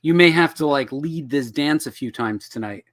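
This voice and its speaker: A middle-aged man hosting a live comics-writing lesson over a video call, slightly hoarse, joking and self-deprecating. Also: on the high side, moderate pace, a bit bright. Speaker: host. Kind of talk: casual livestream teaching. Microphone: video call webcam.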